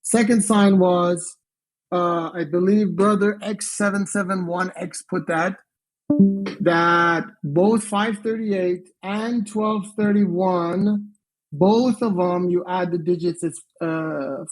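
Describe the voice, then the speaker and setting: A man's voice: high voice, fairly even intonation, slow, rough recording. A young man in an online debate, defending his point step by step and asking to be treated with respect.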